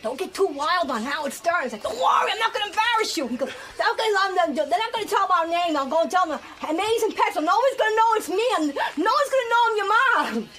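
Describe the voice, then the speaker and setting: A middle-aged woman guest on a radio show, brash and profane, talking loud and excitably while nervous. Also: extremely high pitch, fast talker, few pauses, a crowd in the background. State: nervous, sweating. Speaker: radio show guest, web pet show host. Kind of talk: casual on-air chat.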